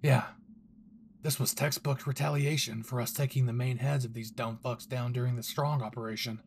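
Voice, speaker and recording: A man's voice: mid-range voice, steady tone, normal speed, creaky, slight background noise, close to an everyday voice. A man playing a brash, possessive young hero, cocky, swearing and intense, in a dramatic audio roleplay spoken to the listener. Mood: cocky, protective. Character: brash, possessive young male hero, gruff and foul-mouthed.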